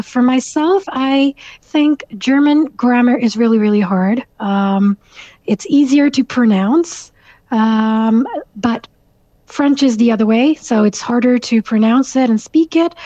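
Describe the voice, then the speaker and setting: A young woman from Canada whose first language is Urdu, answering interview questions thoughtfully with many fillers and soft laughs, heard over dull lo-fi audio. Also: fairly high pitch, measured pace, no echo. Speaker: guest author.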